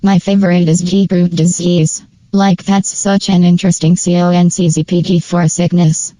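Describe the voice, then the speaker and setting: Low, even-toned woman reviewer. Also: moderate pace, no echo, low-quality recording. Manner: informal, opinionated fan talk with slang. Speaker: video creator and narrator. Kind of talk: casual scripted tier-list review commentary.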